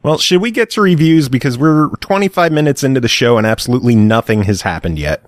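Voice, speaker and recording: A man's voice: mid-range voice, expressive, fast talker, no room sound, clean studio sound. A man hosting a casual podcast, steering the talk back on topic and reading out game blurbs. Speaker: podcast host.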